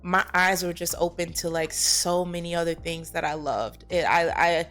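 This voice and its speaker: A woman's voice: on the low side, fairly even intonation, moderate pace, a bit bright, faint music behind. A young adult American woman speaking casually as an actress being interviewed.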